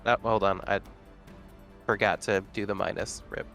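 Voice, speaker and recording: A man's voice: fairly low pitch, fairly even intonation, normal speed, creaky, fairly bright tone, faint music behind. A man playing in a tabletop game, talking casually and hesitantly with many fillers. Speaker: player.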